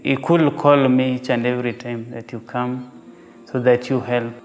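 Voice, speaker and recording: A young man from Kenya, giving an earnest, measured testimony about his vocation as a seminarian. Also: medium pitch, level tone. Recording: reverberant, with guitar music behind.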